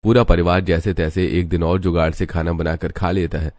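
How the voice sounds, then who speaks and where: A man's voice: low voice, level tone, fairly quick, darkish tone. A man reading scripted narration for a moral story.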